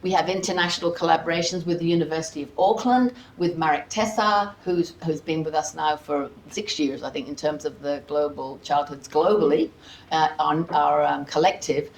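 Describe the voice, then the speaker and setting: A woman's voice: sits low, moderate pace, creaky. A woman welcoming an audience as host of an academic symposium, speaking informally and conversationally with casual asides. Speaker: symposium host giving opening remarks. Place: seminar room with audience. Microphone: worn microphone. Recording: roomy echo, audible background noise.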